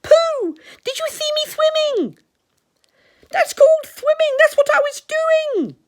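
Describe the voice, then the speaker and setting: A woman voicing an excitable, proud little male kangaroo with a squeaky voice in a children's storybook. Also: slow, heavily acted. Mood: excited, proud. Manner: breathlessly boastful, repeating himself.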